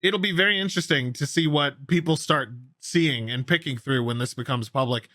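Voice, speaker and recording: A man's voice: fairly high pitch, a little fast, pushed and bright, no echo, studio quality. A man hosting a gaming news show, giving casual commentary in a talkative, explanatory, informal way.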